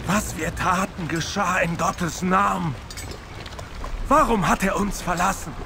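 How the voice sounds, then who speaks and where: A man playing a remorseful, dying crusader in a dubbed video game, halting and mournful. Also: high-pitched, gravelly, noisy background. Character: fallen crusader brother, remorseful, weary and dying. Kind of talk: dramatic video game dubbing. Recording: loud music over voice.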